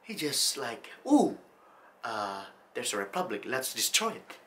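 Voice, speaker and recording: A young adult man talking casually to camera as a tutorial host, in an animated, jokey, excitable manner. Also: medium pitch, a little slow, a little hiss or hum. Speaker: host of video-effects tutorials. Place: small indoor studio room.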